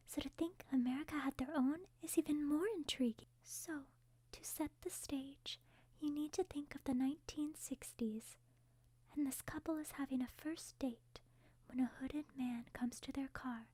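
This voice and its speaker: A woman playing a refined young princess who eagerly shares morbid interests in a soft, breathy half-whisper for an ASMR roleplay. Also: high-pitched, dry recording.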